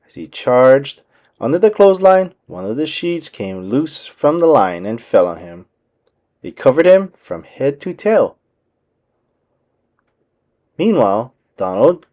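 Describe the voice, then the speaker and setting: A man reading a children's book aloud as an expressive storyteller. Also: mid-range voice.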